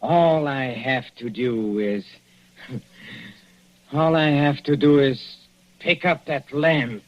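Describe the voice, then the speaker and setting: A man's voice: mid-range voice, very slow, long pauses. An actor playing a scheming male professor who is poisoned and breaks into stammering pleas, in a 1940s radio drama. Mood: smug. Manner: stammering, pleading then shouting. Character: panicking poisoned male professor, mathematician killer. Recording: dull old radio broadcast.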